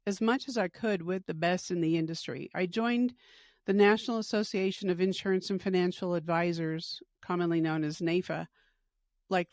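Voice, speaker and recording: A woman's voice: lowish voice, dry recording, clean studio sound. A middle-aged woman podcast host, talking about her work in an earnest, upbeat, rambling way with fillers. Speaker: podcast host and retirement educator. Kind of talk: casual interview chat.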